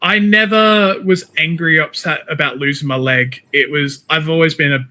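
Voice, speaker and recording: An Australian man in a casual interview, with a fairly high, bright and forward voice. He is chatty and informal, speaking in a loose, conversational flow. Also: fairly even intonation, moderate pace. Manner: chatty, peppers talk with "man" and "like". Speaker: interview guest, wrestler and game streamer.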